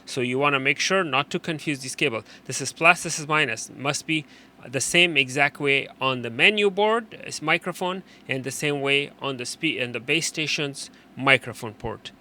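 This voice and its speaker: A man presenting an unscripted technical how-to, explaining in a halting way and often repeating and rephrasing his instructions. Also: medium pitch, pushed and bright. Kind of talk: unscripted hands-on tutorial explanation.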